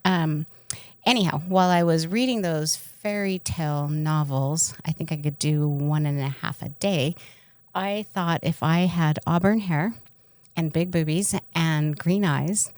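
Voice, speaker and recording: Give this a woman with a distinctly low voice, recorded close and dry. She co-hosts a podcast and tells personal stories in a frank, irreverent, joking way, in loose conversational speech. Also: unhurried, a little mellow, clear, resonant tone, dry recording. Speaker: podcast co-host. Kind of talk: candid casual chat, personal storytelling.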